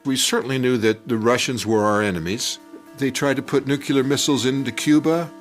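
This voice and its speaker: An older man telling a personal story from his youth in a conversational, halting and animated way. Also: mid-range voice. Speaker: storyteller telling his own childhood memory. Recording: music bed under the voice. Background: music.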